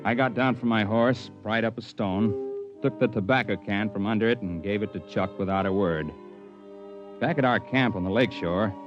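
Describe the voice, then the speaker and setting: A man's voice: lowish voice, close to an everyday voice. A man acting as a rugged mountaineer who tells his adventure in a plain, matter-of-fact way in an old radio drama. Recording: dull old radio recording, music behind.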